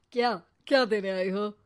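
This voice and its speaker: A middle-aged cartoon dad, played by a woman, eager to please yet defensive. The voice sits at a medium pitch for a woman. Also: natural voice. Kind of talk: cartoon moral story acting.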